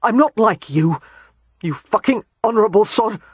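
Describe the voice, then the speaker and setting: A man voicing a bitter, traumatized male ex-soldier who shouts his accusations in a strained, tearful voice. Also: sits high, moderate pace, slightly rough, no room sound. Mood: broken. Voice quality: strained, near tears.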